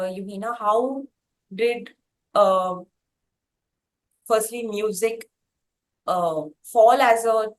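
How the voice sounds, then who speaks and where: A woman with a somewhat high-pitched voice hosts a conversational interview. She is effusive and wordy, and she shapes her phrases deliberately as she goes. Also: poor audio.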